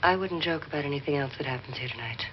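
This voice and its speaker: An actress playing the cool, anxious wife of a jealous millionaire in a 1950s horror film, poised and commanding. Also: female voice, sits low, fast talker, slight background noise, natural voice. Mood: cool, probing. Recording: dull vintage film soundtrack with music.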